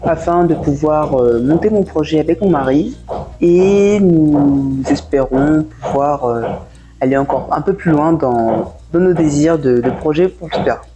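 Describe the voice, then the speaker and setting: A woman's voice: low-pitched, unhurried, over music, low-quality recording. A woman in her thirties from France, with a French accent from France, answering as a guest in a casual interview. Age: 30s. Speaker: guest, café owner.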